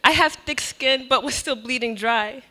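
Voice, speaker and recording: A young woman performing spoken word poetry on a small outdoor stage into a handheld mic, expressive and breaking into laughs between lines. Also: sits high, normal speed. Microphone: handheld mic on a stand.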